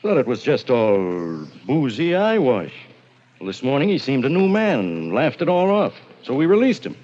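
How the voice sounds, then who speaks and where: An actor playing a wisecracking, skeptical police inspector in a 1940s radio drama, slangy and wry, on a muffled old recording. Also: male voice, mid-range voice, huge pitch swings, normal speed, a little mellow. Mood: warning.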